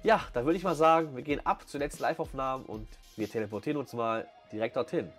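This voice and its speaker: A man's voice: mid-range voice, fast talker. A man giving casual, enthusiastic vlog commentary full of youthful slang. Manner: enthusiastic slang-filled chat. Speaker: vlog host. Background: background music.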